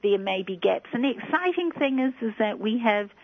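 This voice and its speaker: A woman, a guest on a radio talk show, who explains things in a measured way. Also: on the high side, animated. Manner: measured and explanatory, often saying "you know". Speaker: guest speaking on migrant job-seeking. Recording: dull lo-fi radio recording.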